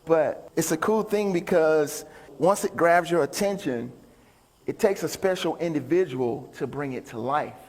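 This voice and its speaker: A man's voice: on the high side, a little slow, darkish tone. A man giving an upbeat, folksy live stage talk as a motivational speaker and musician, bantering with his audience. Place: large indoor stage venue. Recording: reverberant hall, stage talk.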